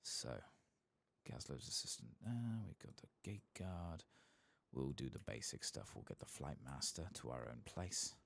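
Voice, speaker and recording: Very deep, soft-spoken gravelly man. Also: big pitch swings, a little slow, no room sound. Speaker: gaming ASMR streamer. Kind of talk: soft-spoken, whispered ASMR game commentary.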